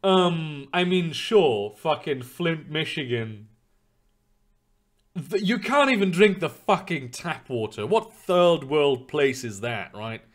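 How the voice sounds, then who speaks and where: A man's voice: a little high, animated, takes it slowly. A young man streaming live, chatting loosely with his viewers in a rambling way, full of fillers and casual swearing. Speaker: streamer reading chat.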